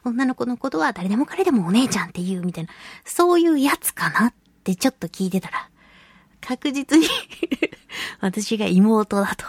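A woman hosting a radio show solo, chatting casually and playfully to listeners with drawn-out words and colloquial asides. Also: fairly high pitch, sing-song, breathy edge, no room sound. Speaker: radio show host, voice actress.

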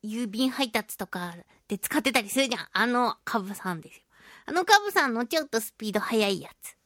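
A woman hosting a casual solo radio chat, talking in a playful, animated way with touches of mock-grand phrasing. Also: a little high, fast talker, no echo, clean studio sound. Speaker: radio show host and voice actress.

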